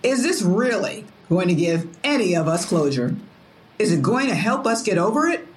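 A woman's voice: mid-range voice, sing-song, moderate pace, natural voice. Actress as an exasperated doubting woman in an audio drama, mid voice, really swoopy intonation. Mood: doubtful, frustrated. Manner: questioning, doubtful. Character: skeptical, exasperated woman in a survivors' group.

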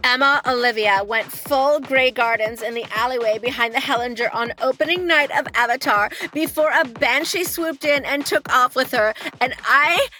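A woman's voice: high-pitched, normal speed, few pauses, bright, forceful tone. A woman playing a gossipy, attention-hungry showbiz type, gleefully dramatic and campy.

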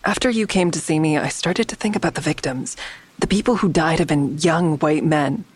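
An actress playing a wry, persistent amateur investigator in an audio drama, speaking in a matter-of-fact, persuasive way. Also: female voice, low-pitched, normal speed, breathy edge. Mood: persuasive, earnest. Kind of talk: naturalistic audio drama dialogue.

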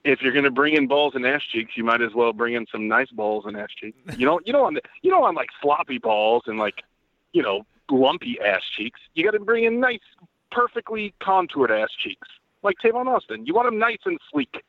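A man's voice: medium pitch, sing-song, brisk, no echo. A man in casual sports banter as a guest journalist, crude and joking with a mock-analytical manner. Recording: muffled phone-like line, dry.

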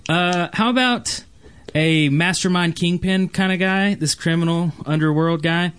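A man's voice: high voice, measured pace, fairly bright tone, smooth. A man hosting a podcast in a casual, conversational style. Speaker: podcast host. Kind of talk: casual chat.

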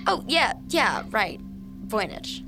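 A woman's voice: on the high side, sing-song, takes it slowly, music in the background, heavily acted. Polite, reassuring woman investigator. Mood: curious, careful. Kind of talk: naturalistic audio fiction acting.

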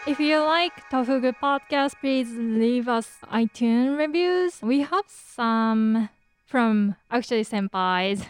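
A woman's voice: on the high side, lively intonation, takes it slowly, smooth, faint music behind. A woman with a Japanese accent co-hosting a podcast, chatting casually, laughing often and reading listener reviews aloud. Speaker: podcast co-host.

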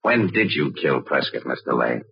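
A man's voice: a bass voice, very animated, normal speed, creaky, husky, exaggerated voice. An American man acting a shrewd, wry newspaper photographer turned sleuth in a 1940s radio crime drama. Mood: probing. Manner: hard-boiled, wry, clipped. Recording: restored old radio, muffled and dry.

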